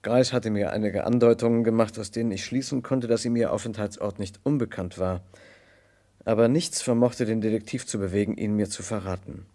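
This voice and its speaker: Actor as an earnest, low-voiced troubled gentleman. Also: lightly acted. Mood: grave, narrating. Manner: measured, literary storytelling, hesitant in dialogue. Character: earnest, troubled gentleman narrator, loyal and doubting. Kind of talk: mid-20th-century German radio crime drama narration and acting.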